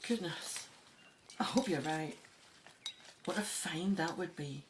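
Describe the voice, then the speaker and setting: A woman's voice: on the low side, slow, noisy background. A woman playing an older, gracious, commanding in a radio drama. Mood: brisk, expectant. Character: seasoned woman Egyptologist, gracious, commanding team leader.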